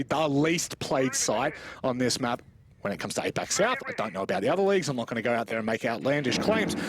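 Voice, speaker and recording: A male esports commentator with a fairly high voice. He talks casually and jokily, mixing live match commentary with teasing banter. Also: noisy background. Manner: teasing, chatty, jokey.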